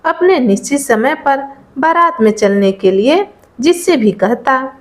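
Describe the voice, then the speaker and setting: A woman tells a folk tale from a script. Her voice is high and animated in its rise and fall. She takes the narration at a very unhurried pace. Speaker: storyteller.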